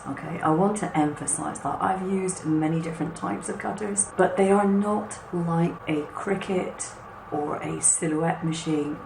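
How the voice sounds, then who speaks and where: Husky hoarse woman, low voice, chatty craft tutorial, echoey room w/ a bit of noise. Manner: chatty and reassuring, explains step by step. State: hoarse and husky after a cough. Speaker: craft channel host. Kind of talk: hands-on product walkthrough.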